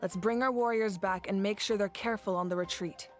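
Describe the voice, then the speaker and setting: A woman playing a grave, commanding leader of warriors in a video game, measured and authoritative. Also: mid-range voice, lightly acted. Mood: resolute. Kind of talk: English video game voice acting. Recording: game music behind the voice.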